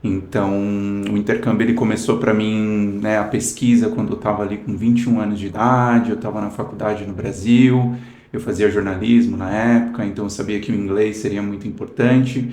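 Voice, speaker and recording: A man's voice: on the low side, steady tone, a little fast, a little mellow, echoey room. A Brazilian man in his thirties, a guest telling his story in an earnest, casual way, often adding "né". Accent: Brazilian Portuguese. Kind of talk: casual interview chat.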